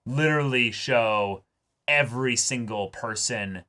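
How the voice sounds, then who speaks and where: A man co-hosting an anime reaction show in casual, excited discussion, speaking emphatically and often repeating words. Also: medium pitch, measured pace.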